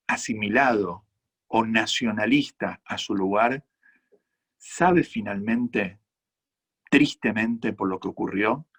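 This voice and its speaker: An Argentine-accented rabbi answers audience questions in an earnest, measured way. His low voice carries a husky edge. He is a man speaking at a conference. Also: fairly bright tone. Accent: Argentine Spanish. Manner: earnest, measured, reflective explanations. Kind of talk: conference Q&A talk.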